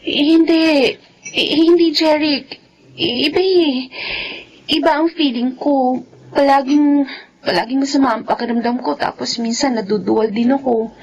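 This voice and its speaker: High-voiced, anguished young Filipina. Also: female voice, unhurried, long pauses, a little mellow, low-quality recording. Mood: anxious. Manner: pleading, emotional. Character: anguished young pregnant woman abandoned by her boyfriend. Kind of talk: Filipino radio drama acting.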